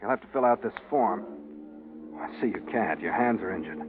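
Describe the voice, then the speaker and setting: An actor playing a brisk, kindly American port official in a 1940s radio drama, businesslike and reassuring. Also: male voice, on the low side, lively intonation, phone-quality sound, noisy background, natural voice. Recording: band-limited old 1940s radio recording.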